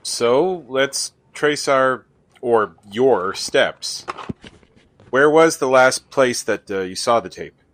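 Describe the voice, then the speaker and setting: A man playing a skeptical, reluctant buddy, the wry straight man in a comedic radio play, questioning in a deliberate way. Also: medium pitch, expressive, fairly bright tone, a little hiss or hum.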